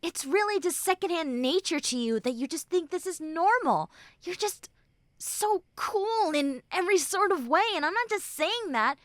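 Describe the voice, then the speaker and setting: A woman playing a normally mean young tsundere who turns tender and caring, speaking haltingly and earnestly to the listener in an intimate roleplay. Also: very high voice, lively intonation, no room sound, clean studio sound. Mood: earnest, bashful. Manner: halting, bashful, earnest, soft and breathy when consoling. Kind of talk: intimate roleplay audio drama, spoken to the listener.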